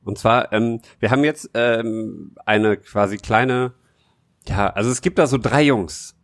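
A man co-hosting a podcast in an informal, halting way, searching for his words as he chats. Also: fairly low pitch, no room sound, studio quality. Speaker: podcast co-host. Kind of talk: casual chat.

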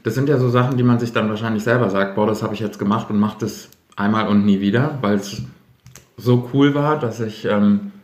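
A man's voice: fairly low pitch, brisk, a little mellow, reverberant. A man chatting casually as co-host of a podcast, teasing his partner and talking while snacking. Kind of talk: casual chat.

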